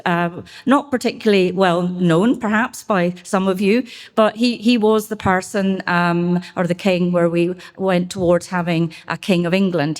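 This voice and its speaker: A middle-aged woman with a Scottish accent gives an unscripted speech at a business event, halting often with fillers and restarts. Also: mid-range voice. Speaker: council member speaking at a business expo.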